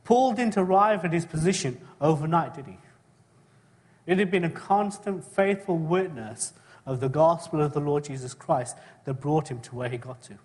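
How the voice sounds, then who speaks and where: A middle-aged man from England gives a sermon, speaking in a slightly raised voice. He talks in a conversational way, often turning to his listeners with tag questions and clearing his throat. His voice carries a long reverb from a church hall. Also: fairly high pitch, expressive, normal speed, a little mellow. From born in Kenya, raised in England. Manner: conversational, asks the congregation frequent tag questions. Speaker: preacher. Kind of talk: sermon with personal testimony.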